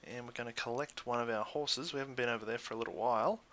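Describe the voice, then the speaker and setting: Australian man, crisp bright voice, medium pitch, casual let's play commentary, easygoing, chatty. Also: fast. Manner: easygoing, chatty, thinks out loud. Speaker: gaming YouTuber.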